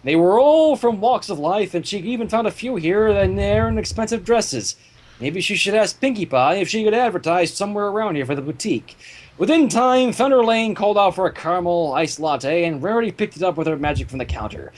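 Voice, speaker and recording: A man reading fan fiction aloud as the narrator, in an animated storytelling style. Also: sits high, brisk.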